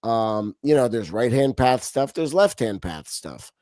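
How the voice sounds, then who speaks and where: A middle-aged man who grew up in Romania, speaking as a guest in a loose, animated interview. He restarts often and fills his pauses, and the recording sounds close and dry with no room around him. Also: medium pitch, no echo. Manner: animated, frequent restarts and fillers. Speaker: podcast guest. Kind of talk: casual interview talk.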